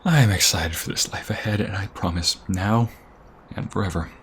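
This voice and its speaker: A man's voice: deep voice, moderate pace, a little hiss or hum. A man playing a devoted, sentimental boyfriend in a romantic ASMR roleplay, speaking intimately and earnestly. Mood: devoted, happy. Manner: intimate, earnest, often hushed and breathy.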